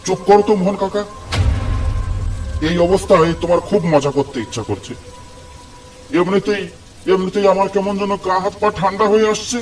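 A man's voice: high-pitched, brisk, long pauses, faint music behind, lightly acted. A man voicing a stubborn, argumentative young village fisherman in a cartoon, cocky yet jumpy. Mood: uneasy. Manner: argumentative, grumbling. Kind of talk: Bengali cartoon horror-comedy dialogue.

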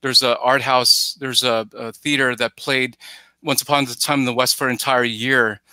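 A middle-aged man giving conversational commentary on a podcast, halting and repeating phrases, acting out imagined reactions as he goes. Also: mid-range voice, fairly even intonation, bright, forceful tone, smooth, no echo. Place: indoor room, video call.

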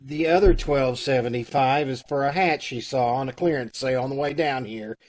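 An actor playing a fussy, flustered loan officer in an old-time radio comedy, with hesitant, businesslike patter over a video call. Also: male voice, mid-range voice, moderate pace, natural voice. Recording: live video-call recording.

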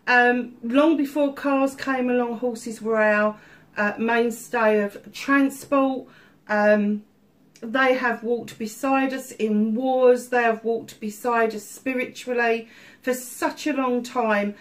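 Middle-aged woman doing a tarot reading at home, bit stuffy, slow and chatty, lots of ums. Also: fairly high pitch, steady tone. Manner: chatty, warm-hearted asides with many ums. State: slightly stuffy-nosed from illness. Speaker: tarot reader. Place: home room at a table of crystals.

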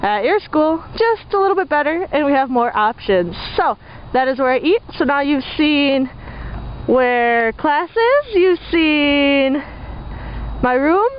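A woman's voice: high-pitched, lively intonation, takes it slowly. A young woman, a college student, giving a casual narrated tour for a vlog. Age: young adult. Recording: dull lo-fi camera audio, faint noise.

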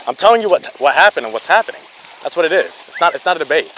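A man's voice: a little high, big pitch swings, rapid-fire, very noisy. A man officer on a beach arguing insistently during a stop, repeating himself and cutting in, recorded on his body camera. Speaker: wildlife officer. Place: outdoor beach.

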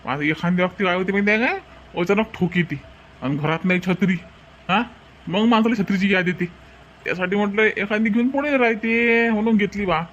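A man's voice: high-pitched, fairly quick, fairly bright tone, muffled recording, a little hiss or hum. A man playing an easygoing village shopper in a comic cartoon, rambling and repetitive, with a Varhadi Marathi accent. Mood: easygoing, approving. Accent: Varhadi Marathi from Vidarbha.

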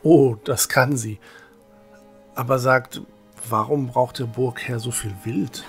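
A man's voice: medium pitch, fairly even intonation, slow pace, faint music behind. A man playing a polite, earnest young religious brother in a fantasy audio drama.

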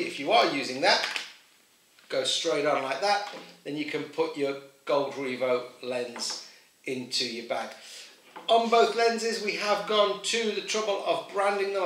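A man's voice: fairly high pitch, fairly bright tone. A middle-aged man selling ski goggles, walking through the product to camera in a chatty, informal way with many fillers. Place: small indoor room with boxes. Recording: echoey room, mic at a distance.

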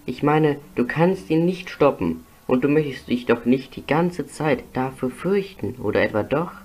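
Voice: medium pitch, expressive, normal speed, darkish tone, breathy edge. Someone voicing an eager, naive young cartoon tank engine. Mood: curious, earnest. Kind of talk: animated children's cartoon fan dub. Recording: music under the dialogue.

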